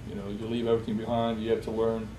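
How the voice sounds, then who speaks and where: A young man from Bosnia in a personal interview, speaking haltingly with many fillers and restarts. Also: lowish voice, completely flat delivery, dull audio. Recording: roomy echo, distant from the mic, faint hiss.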